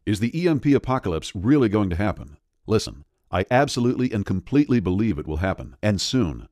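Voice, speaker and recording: Urgent lowish male sales voice-over. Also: big pitch swings, fast, a little mellow, no room sound, clean studio sound. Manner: urgent, persuasive pitch delivery. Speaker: sales pitch narrator.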